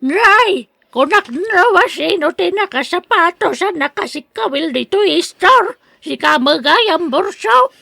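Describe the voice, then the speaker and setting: A woman's voice: very high-pitched, animated, measured pace, creaky, pushed and bright, dry recording, clean studio sound, heavily acted. An actress with an Ilocano Filipino accent playing a needy, grateful village mother in a radio drama, exclaiming deferentially. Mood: admiring.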